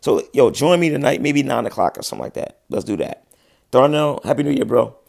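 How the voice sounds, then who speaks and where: Laid-back man with a gravelly voice. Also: medium pitch, lively intonation, a little fast, a little mellow, no room sound, clean studio sound. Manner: laid-back slangy talk, frequent restarts. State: losing his voice. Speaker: livestream host, musician. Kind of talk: casual livestream chat answering viewers.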